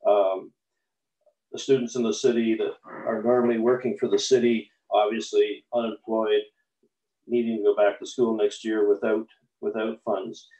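A man's voice: fairly low pitch, flat delivery, moderate pace, mellow. A man on a council video call, asking questions with many fillers and restarts. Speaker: city councillor. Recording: echoey distant lo-fi video call.

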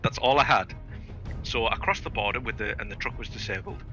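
A man's voice: fairly low pitch, fairly quick, bright, forceful tone, lo-fi sound, faint music behind. A man telling stories and bantering over gaming voice chat in a sweary, theatrical, bombastic way. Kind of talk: animated casual gaming banter and storytelling.

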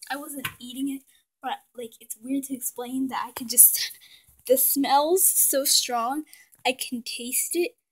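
A woman's voice: high-pitched, low-quality recording. A woman casually showing her doll house at home, chatty with lots of fillers, sometimes sinking to a whisper, recorded on a handheld phone. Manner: chatty, many "like" fillers, drops to soft whispers at times. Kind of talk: casual show-and-tell narration. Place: indoors at home, near the dollhouse.

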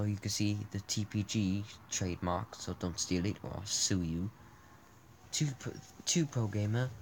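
A man's voice: lowish voice, darkish tone, a little hiss or hum, low-quality recording. A young man whose first language is Italian, hosting a gaming channel and rambling with jokes and restarts as he talks into a handheld mic. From born in Spain, raised in Italy, France, Britain and Germany. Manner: rambling, joking, self-deprecating, many restarts. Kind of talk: casual thank-you vlog over gameplay. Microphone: handheld mic over screen capture.

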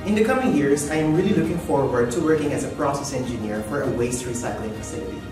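A man's voice: mid-range voice, animated, quick pace. A young adult man from the Philippines with a Filipino accent, a graduate giving a prepared testimonial to camera. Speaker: engineering graduate. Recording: echoey room, distant mic, music behind.

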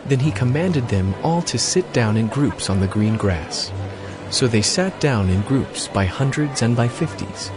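A man's voice: mid-range voice, lively intonation, measured pace. A man narrating scripture from a script as part of a dramatized reading. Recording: music bed behind voice.

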